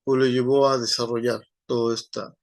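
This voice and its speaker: A man's voice: mid-range voice, level tone, moderate pace, no room sound. A man teaching an online class, rambling, with many fillers and tag questions.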